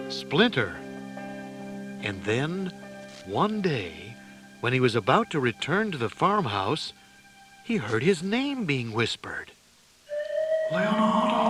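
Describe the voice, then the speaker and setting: A man narrating a children's adventure story in a deliberate, theatrical style. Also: medium pitch. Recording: loud music behind the voice.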